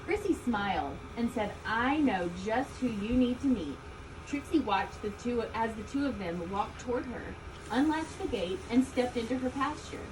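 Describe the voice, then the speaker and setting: A woman reading a children's story aloud, expressively, with deliberate emphasis on key words. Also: fairly high pitch, lively intonation. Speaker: storybook reader. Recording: distant mic, loud background ambient noise.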